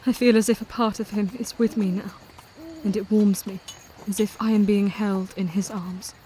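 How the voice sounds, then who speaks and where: An actress playing a devoted, brave young wife in an audio drama, speaking gently and from the heart, with faint background noise. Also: female voice, mid-range voice, flat delivery, normal speed, lightly acted, rough recording. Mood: somber. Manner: gentle, heartfelt, softly spoken. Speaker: narrator of her own journal.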